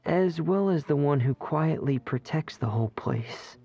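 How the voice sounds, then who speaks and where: An actor voicing a shy, gentle, dreamy teenage boy in a video game, speaking softly and earnestly with some hesitation. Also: mid-range voice, lively intonation, unhurried, vocal fry, highs cut off, faint music behind. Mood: shy, wistful. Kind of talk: video game character acting.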